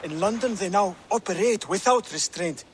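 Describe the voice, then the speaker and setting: Male actor as earnest young assassin acolyte, highish voice, measured, courteous, solemn, game drama, noisy ambience behind. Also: lightly acted. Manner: formal, measured, solemn speech. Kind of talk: dramatic video game acting.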